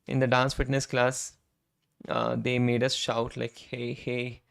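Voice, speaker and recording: A man with a hoarse, worn-down voice, chatting casually on a live coding stream, often tagging his sentences with "right?" and clearing his throat. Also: mid-range voice, completely flat delivery, measured pace, fairly bright tone. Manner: conversational, frequent "right?" tag questions, throat clearing. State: hoarse, voice almost gone. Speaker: streamer and software engineer. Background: keyboard typing and clicks.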